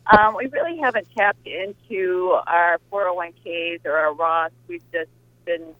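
A woman's voice: fairly low pitch, unhurried, no echo. Lady in her sixties calling in, hesitant, lots of ums, tinny phone line. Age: 60s. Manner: hesitant, many fillers. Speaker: caller. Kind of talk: call-in question on radio. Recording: phone-line caller audio.

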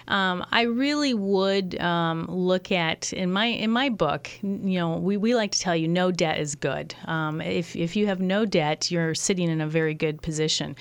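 A woman's voice: on the low side, clear, resonant tone, no echo. A woman co-hosting a call-in radio show, answering a caller's question in a friendly way with many fillers. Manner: friendly, filler-heavy explaining. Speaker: radio show co-host, financial adviser.

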